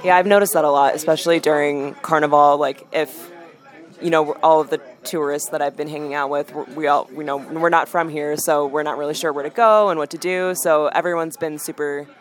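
A man's voice: on the high side, steady tone, fairly quick. A man interviewing someone for a travel piece, asking questions in an upbeat, chatty way with frequent "like" and "you know".

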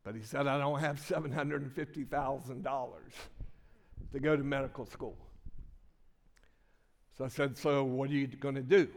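A man's voice: medium pitch, fairly even intonation, normal speed, long pauses. Older man preaching in a reverberant hall. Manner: folksy storytelling with many restarts. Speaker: pastor. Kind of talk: sermon with personal story. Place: church sanctuary.

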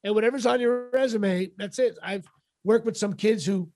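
A middle-aged man with a raspy voice, chatting casually as an interview guest in a wry, self-deprecating, halting way. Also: high-pitched, darkish tone. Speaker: podcast guest, actor and comedian.

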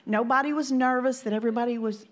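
A woman's voice: fairly high pitch, fairly even intonation, fast talker. Chatty Louisiana woman in her sixties. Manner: chatty, laughing, homey. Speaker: minister's wife. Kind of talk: speaking to a church congregation. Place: church sanctuary.

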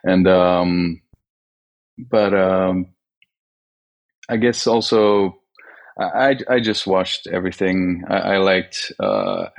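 Slow, gravelly-voiced man from Sweden. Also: low voice, animated. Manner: hesitant, many "um"s and restarts. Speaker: guest filmmaker. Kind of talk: interview answers.

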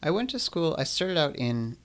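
A man in his twenties talking casually in an interview, relaxed and rambling with many fillers. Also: a little high, moderate pace. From Illinois, Midwest US. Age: 20s. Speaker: musician guest.

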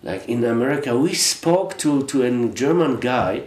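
A middle-aged man from Poland with a Polish accent, chatting as an interview guest in a halting, reflective way with frequent restarts. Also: medium pitch, lively intonation, darkish tone, echoey room. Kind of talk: casual interview chat.

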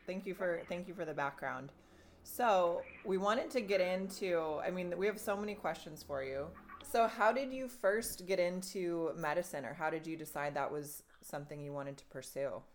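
A woman hosts a podcast from her home studio and talks in a friendly, enthusiastic way. Her voice sits a little low for a woman. She asks her questions warmly, with a few restarts. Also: normal speed, a little hiss or hum. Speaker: podcast host. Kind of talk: casual podcast interview.

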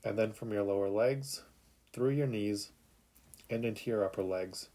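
A man narrating a guided sleep meditation in a calm, soothing, unhurried way with long pauses. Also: fairly low pitch.

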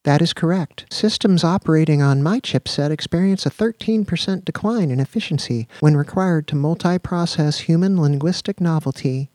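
Man playing a calm robotic computer, monotone, mechanical, raised pitch, dry sound. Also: normal speed, hardly any pauses, dry recording, close to an everyday voice. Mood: flat. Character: bland, flat-toned male office computer.

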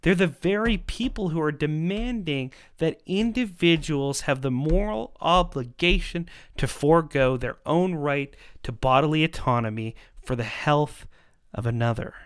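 A man giving a persuasive monologue in an emphatic, rhetorical manner, with dramatic pauses and repetition. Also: a little high, smooth, dry recording, studio quality. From Canada. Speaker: podcast host and pro-life activist.

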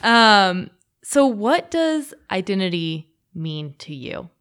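A woman's voice: mid-range voice, very animated, slow pace, a bit bright. A woman interviewing a guest, asking questions in an encouraging way.

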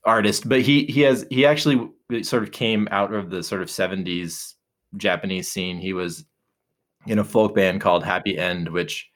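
Man, low voice, laid-back podcast co-host who rambles a bit and restarts sentences. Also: moderate pace. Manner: relaxed, rambling, many fillers and restarts. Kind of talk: casual chat.

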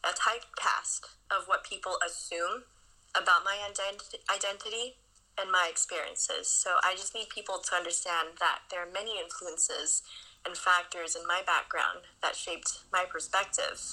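A young woman from China answers interview questions in an earnest, articulate way. Her voice is very bright and forward, sitting a little lower than most women's. She speaks reflectively, as an author explaining her views. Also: low-quality recording.

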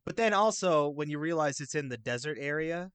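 A man's voice: on the high side, lively intonation, a little fast, fairly bright tone, clear, resonant tone, no echo. A man chatting as a podcast guest, explaining things in a loose, hesitant way that often trails off. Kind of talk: casual podcast chat.

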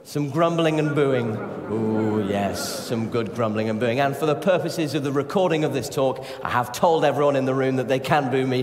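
Echoey, animated man preaching in church. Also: medium pitch. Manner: playful, encouraging the congregation to join in. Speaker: church speaker leading a talk. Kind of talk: interactive sermon with scripture reading. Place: large church, speaking to a congregation. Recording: echoey church hall, reverberant.

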